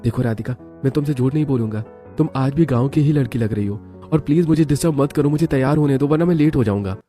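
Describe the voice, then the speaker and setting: A man's voice: medium pitch, quick pace, dark tone, faint music behind, pitched above the performer's own voice, lightly acted. A man voicing a curt, dismissive, irritated husband in a cartoon story.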